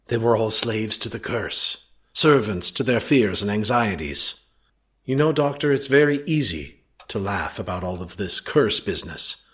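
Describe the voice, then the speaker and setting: An earnest and uneasy young heir in an audio drama. His voice sits fairly low and swings expressively through its range, dramatic and sincere. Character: Sir Henry Baskerville, earnest, uneasy young heir from Canada.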